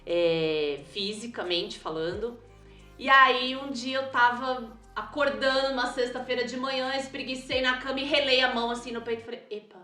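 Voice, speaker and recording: A woman with a São Paulo accent telling her story as a guest in a casual interview, lively and quick to laugh. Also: a little high, reverberant, soft background music. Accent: São Paulo Portuguese. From São Paulo, Brazil. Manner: animated, laughs often, acts out quotes.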